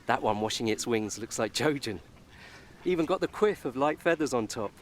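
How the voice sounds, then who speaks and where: An actor playing a sarcastic, resentful young adult son in a naturalistic radio soap. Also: male voice, mid-range voice, animated, normal speed, noisy background, lightly acted. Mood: wry, softening.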